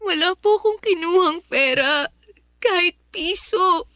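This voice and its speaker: A frightened young girl in a radio drama, pleading through tears. Her voice is very high and bright. It sounds dry, with faint music under it. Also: measured pace, no room sound, full character voice. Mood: subdued. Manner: pleading, tearful. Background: background music.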